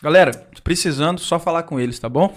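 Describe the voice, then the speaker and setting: Higher-pitched Brazilian male podcast co-host. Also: quick pace. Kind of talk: casual, chatty sponsor plugs.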